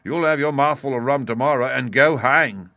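A man voicing a scheming, swaggering pirate ringleader in a storybook, speaking forcefully in rustic seafaring dialect. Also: mid-range voice, moderate pace, no echo, voice pushed up. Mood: scornful, boastful. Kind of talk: dramatised storybook reading.

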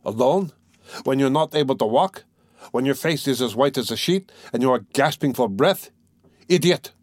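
A man reading an audiobook as a hot-tempered, bossy young man who scolds loudly and fires off demands. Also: mid-range voice, animated, dry recording, clean studio sound, lightly acted. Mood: indignant, threatening. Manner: loud scolding, rapid-fire demands. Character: hot-tempered, blustering young male friend, bossy and loud. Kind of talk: dramatised audiobook dialogue.

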